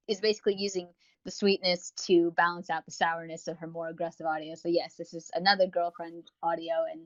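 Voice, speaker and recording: A woman's voice: lowish voice, no echo. A woman co-hosting a casual review podcast in a wordy, tongue-in-cheek way. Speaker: podcast co-host. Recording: dull, dry lo-fi voice recording.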